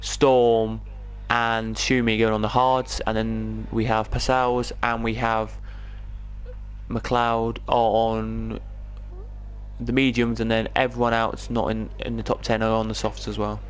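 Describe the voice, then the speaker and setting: A man's voice: on the low side, flat delivery, takes it slowly. A man from England with an English accent, giving chatty live commentary on an online racing league. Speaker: esports race commentator.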